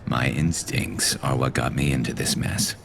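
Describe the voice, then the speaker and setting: A battle-weary alien soldier speaks in an extremely low, creaky voice over clearly audible ambient noise. He is a loyal rebel with a dry, measured wit, straight out of a sci-fi video game. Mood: brooding, conflicted.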